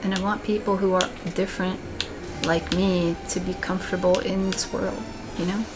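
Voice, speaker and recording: Low, monotone woman musing while gaming. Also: normal speed, background noise. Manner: thoughtful, rambling, candid. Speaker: let's play gamer. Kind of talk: casual commentary while gaming. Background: game music.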